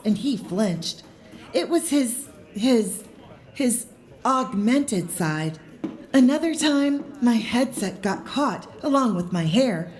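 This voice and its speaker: An actress playing a tall, gentle young woman who speaks softly, tenderly and earnestly. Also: on the high side. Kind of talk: audio drama acting.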